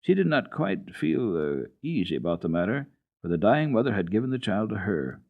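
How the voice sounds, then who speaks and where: A man giving an expressive, dramatic radio reading of a children's classic, with deliberate phrasing. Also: fairly low pitch, vocal fry. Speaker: radio storyteller. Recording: dull lo-fi old radio recording.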